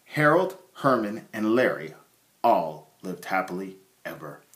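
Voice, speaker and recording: A man's voice: deep voice, sing-song, unhurried, a bit bright. A middle-aged man reading a children's story aloud as a storyteller, recorded on a phone indoors. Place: small bare indoor room. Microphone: phone at arm's length. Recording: echoey small room, phone mic.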